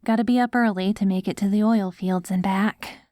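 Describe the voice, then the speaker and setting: A woman playing an affectionate post-apocalyptic wife in an ASMR audio roleplay, coaxing and gently praising her ghoul husband. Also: mid-range voice, steady tone, brisk, no echo, studio quality, natural voice. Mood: tender, tired.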